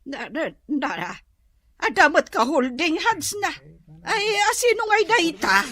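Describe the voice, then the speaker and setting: A woman's voice: very high-pitched, big pitch swings, measured pace, fairly bright tone, no room sound, exaggerated voice. A woman playing a showy, nosy, well-off aunt in an Ilocano comedy radio drama, exclamatory and with an Ilocano accent. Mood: nosy, impressed. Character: boastful, gossipy well-off aunt at a family reunion.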